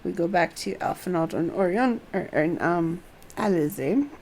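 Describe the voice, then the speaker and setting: A woman narrates a video game on a livestream at a slow, deliberate pace. She reads stage directions plainly and drops in quiet, muttered asides of her own. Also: lowish voice, animated, slight background noise, low-quality recording. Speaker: game streamer. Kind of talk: reading game dialogue aloud on a livestream.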